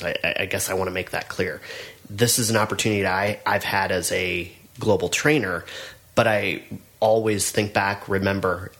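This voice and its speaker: A man's voice: fairly low pitch, a bit bright. A middle-aged man co-hosting a podcast, talking in an earnest, encouraging way with frequent restarts. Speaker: podcast co-host, business network trainer. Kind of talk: casual podcast chat.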